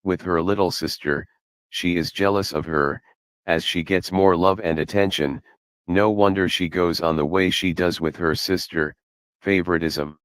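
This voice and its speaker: Male commentator, low voice, dry close recording, measured critical delivery. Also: steady tone, fairly bright tone, smooth, no echo. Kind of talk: reaction commentary over a clip.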